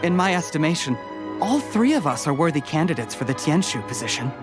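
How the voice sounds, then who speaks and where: A man's voice: fairly high pitch, sing-song. A man playing a polite, earnest young candidate in a video game, speaking in a courteous, diplomatic way. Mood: gracious. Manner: courteous, measured. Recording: prominent background music.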